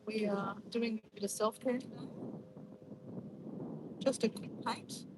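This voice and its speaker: A woman's voice: on the high side, steady tone, unhurried. An Australian woman with an Australian accent chatting in a casual, rambling, informal way as she hosts a livestream. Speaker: livestream host. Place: outdoors in nature near water. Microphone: phone or camera on a tripod. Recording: noisy outdoor livestream, clear background noise.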